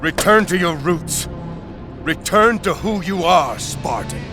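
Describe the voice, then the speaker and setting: An actor playing a grave, urging soldier of ancient Greece in a game cutscene. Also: male voice, sits high, animated, slow pace, loud music behind the voice. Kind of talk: video game cutscene acting.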